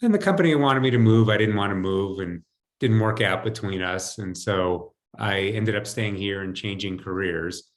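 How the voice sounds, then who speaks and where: A low-voiced American man in his fifties talks as a guest on a video call. He tells his own story in a conversational way, as if chatting with the interviewer. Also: normal speed. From United States, New Jersey. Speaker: podcast guest, financial advisor. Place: home, indoors. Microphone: video call computer mic.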